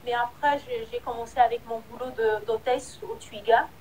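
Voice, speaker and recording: High-voiced woman singer. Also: steady tone, moderate pace, background noise. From Republic of the Congo. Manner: candid, hesitant, trailing off. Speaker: singer, interview guest. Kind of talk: casual interview.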